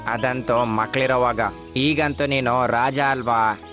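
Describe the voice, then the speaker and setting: A man's voice: mid-range voice, steady tone, takes it slowly, fairly bright tone, faint music behind. A man voicing a sly, envious fox who bullies and mocks others in a cartoon story. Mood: chummy. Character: sly, envious bullying fox, swaggering gangster type.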